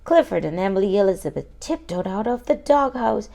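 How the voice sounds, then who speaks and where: Animated woman reading a children's story aloud. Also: mid-range voice, brisk, creaky. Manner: expressive, asks listeners questions. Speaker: children's book reader. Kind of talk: animated read-aloud storytelling with asides to young listeners.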